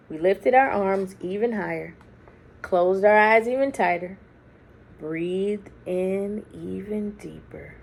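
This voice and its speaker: A woman reading a children's story aloud expressively, with deliberate dramatic phrasing and hushed, drawn-out words. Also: medium pitch, creaky. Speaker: children's book reader. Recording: faint background noise.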